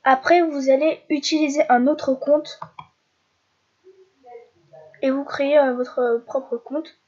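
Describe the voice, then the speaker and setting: A young woman from France with a head cold walks through a screen-recorded tutorial. Her voice sits high and she stumbles, pausing on fillers and restarting her sentences. The home mic sounds dull and lo-fi. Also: fairly even intonation, a little mellow. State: stuffy with a cold. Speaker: YouTuber.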